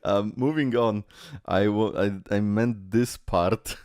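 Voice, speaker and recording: A man's voice: on the low side, takes it slowly, no echo. A man giving casual live reaction commentary, blunt, exclamatory and full of swearing, as the host of a reaction video. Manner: animated, exclamatory, blunt and profane. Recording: game trailer music behind the voice. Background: music from the video being watched.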